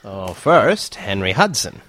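A man's voice: on the low side, big pitch swings, takes it slowly, pushed and bright, slight background noise, full character voice. A man playing an earnest science professor in an audio drama, explaining things hesitantly. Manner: hesitant, filler-laden, explanatory.

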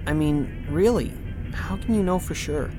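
A man's voice: fairly high pitch, a little slow, music in the background, lightly acted, rough recording. A man playing a curious, sceptical young college student in an audio drama. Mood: doubtful, curious.

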